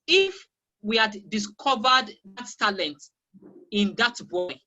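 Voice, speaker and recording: Bright, emphatic Nigerian-accented teacher. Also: medium pitch, big pitch swings, slow pace, dull audio. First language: Yoruba. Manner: emphatic, repeats key phrases. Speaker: guest teacher of English. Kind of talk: candid interview answers.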